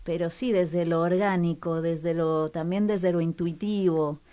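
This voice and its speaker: A woman's voice: lowish voice, fairly even intonation, a little slow, mellow. A young woman with an Argentine accent co-hosting a casual radio talk show, musing and searching for words as she speaks. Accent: Argentine Spanish.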